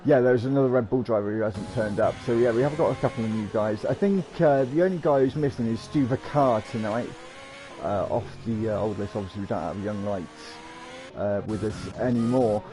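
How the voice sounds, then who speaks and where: A man's voice: on the low side, fairly quick, warm tone, faint music behind. A man hosting and commentating an online racing league, chatty and joking, with plenty of "uh" fillers as he introduces the drivers. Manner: chatty, lots of uh fillers, jokey. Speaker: league host and lead commentator.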